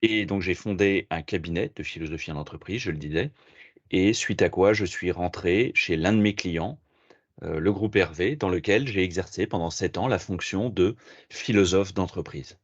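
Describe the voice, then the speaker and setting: A middle-aged French man being interviewed as a philosopher, talking in a measured, reflective way with frequent hesitations. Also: low voice, dry recording, dull audio. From France. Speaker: podcast guest, philosopher and management consultant. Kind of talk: interview answers.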